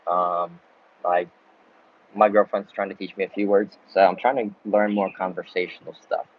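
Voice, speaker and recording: A man, though his is Russian. He answers live chat questions in a relaxed, chatty way and laughs between answers. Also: low-pitched, normal speed. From Ukraine, grew up in the United States. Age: 20s. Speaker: travel YouTuber. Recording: dull lo-fi sound with faint background noise.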